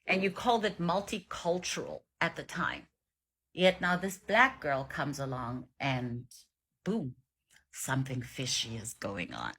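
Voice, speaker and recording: A woman from South Africa talks as an online commentator. Her voice is low, bright and forceful, with a rough husky edge. She delivers her opinions emphatically and with indignation. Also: animated, a little slow. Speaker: reaction video creator. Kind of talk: opinionated social commentary to camera.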